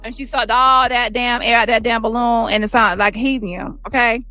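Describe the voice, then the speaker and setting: A woman streamer ranting with heavy profanity, roasting critics with quick insults in a squeaky voice. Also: fairly even intonation, bright, forceful tone, no echo, soft background music. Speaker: commentary streamer. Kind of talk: ranting commentary.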